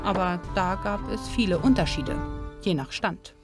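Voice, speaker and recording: A woman narrating a history documentary in a calm, informative and lightly playful manner, and also reporting on camera. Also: lowish voice, normal speed. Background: music.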